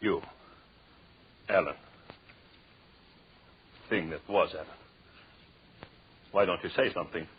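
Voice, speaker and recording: An actor in an old radio suspense drama playing a deranged, tormented, murderous anthropologist who talks obsessively to himself in a theatrical way. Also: male voice, low-pitched, slow pace, pauses often. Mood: brooding, haunted. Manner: theatrical, muttering to himself, repeating phrases obsessively. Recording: dull, noisy old radio recording.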